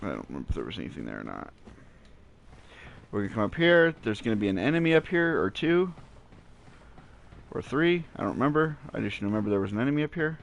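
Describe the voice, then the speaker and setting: A man with a naturally deep voice giving relaxed, rambling gameplay commentary with many fillers, recorded at home on a cheap gaming headset mic. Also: fast talker, vocal fry, pauses often, lo-fi sound. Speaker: gaming channel host. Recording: low-quality headset mic, faint hiss.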